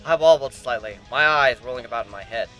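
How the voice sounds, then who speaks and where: A man's voice: mid-range voice, fast, a bit bright, poor audio. A man reading a first-person fantasy story aloud as an amateur dramatic narrator. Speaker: amateur fan fiction reader. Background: background music.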